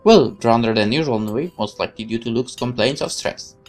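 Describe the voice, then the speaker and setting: A woman with a strikingly deep voice reads story narration from a visual novel aloud as a let's play host. She drops in asides of her own, with faint music playing behind her. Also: fairly quick, darkish tone.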